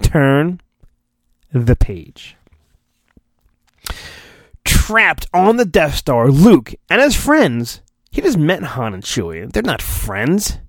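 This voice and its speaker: An animated, higher-voiced man does a mock-dramatic storybook reading for his podcast. He swings between theatrical narration and rambling, sarcastic asides, his pitch moving a lot. The recording is close and dry. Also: drawn-out words, pauses often, no echo. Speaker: podcast host.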